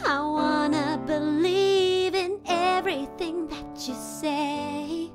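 A woman singing a slow English pop song with musical accompaniment. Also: high voice.

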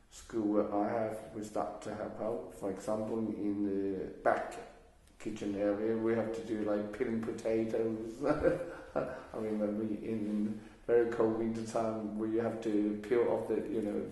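A man's voice: lowish voice, mellow. A man, answering oral history questions in a hesitant way, with many fillers and restarts. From Hong Kong. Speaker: interviewee. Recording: very echoey room.

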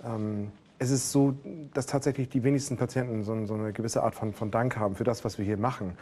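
Man, Berlin accent, nurse, chatty bedside banter, quick speech. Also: fairly low pitch, fairly even intonation, mellow. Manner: chatty, joking, tags phrases with "ne?". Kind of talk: friendly bedside chat with patients. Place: hospital emergency department.

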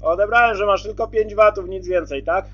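High-voiced guy chatting on cb radio, keeps repeating and checking, dull lo-fi sound. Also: a little fast, fairly bright tone, faint music behind. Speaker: CB radio operator. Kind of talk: casual radio contact talk.

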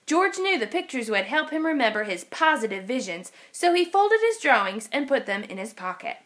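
A woman with a high voice reads a children's picture book aloud. Her delivery is expressive and very lively, rising and falling as she tells the story. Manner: expressive, animated reading. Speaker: storybook reader.

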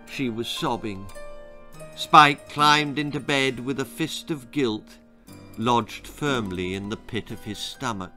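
A man's voice: medium pitch, slow pace, bright, forceful tone, faint music behind. A British man in his sixties reading a children's story aloud in a dramatic storytelling style. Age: 60s. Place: small book-lined room indoors.

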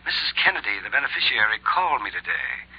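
A man's voice: lowish voice, very animated, quick pace, creaky, husky, no echo, exaggerated voice. An American man playing a polite, formal middle-aged insurance agent heard through a telephone filter in a 1950s radio drama. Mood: politely concerned. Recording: dull telephone-filtered old radio recording.

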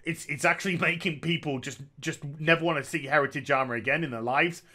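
Male commentator in his thirties, sarcastic and opinionated, bright and slightly high-pitched voice, speaking on a headset at home. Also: quick pace. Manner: sarcastic, opinionated, jokey asides. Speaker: gaming commentator. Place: small room at home.

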